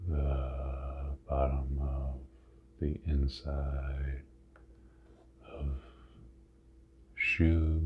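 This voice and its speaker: A man leading a guided body scan meditation in an ASMR style, hushed, with drawn-out phrases and long pauses. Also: a bass voice. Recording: muffled phone-like sound with audible noise.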